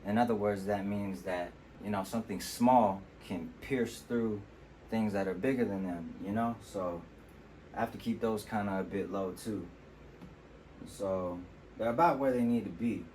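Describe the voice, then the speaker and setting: A man with a low, deep voice talks through his vocal mix in a laid-back home-studio tutorial. He explains things loosely, leaning on "you know" as he goes. A faint hum of room noise sits behind him. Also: measured pace, darkish tone, rough recording. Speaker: music producer demonstrating vocal mixing. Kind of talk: casual tutorial walkthrough.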